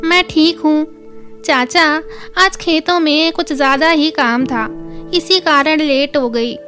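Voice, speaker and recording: A woman's voice: sits high, slow pace, bright, forceful tone, soft background music, heavily acted. A woman voicing a practical, mildly nagging village milk-seller wife in a children's story, with a homely manner. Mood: polite. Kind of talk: children's moral story dialogue.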